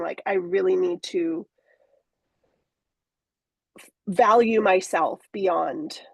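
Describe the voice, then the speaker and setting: Woman, forties, podcast guest: halting, reflective delivery, close dry recording. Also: medium pitch, creaky, darkish tone, dry recording. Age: 40s. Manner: reflective, halting, many fillers and restarts. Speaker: coaching client. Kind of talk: candid conversational interview.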